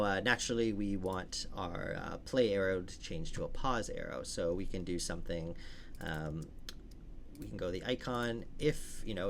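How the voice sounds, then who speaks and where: A man's voice: low-pitched, a bit bright, a little hiss or hum. A man giving a casual, informal step-by-step coding walkthrough as a tutorial instructor. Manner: informal, explains step by step while working.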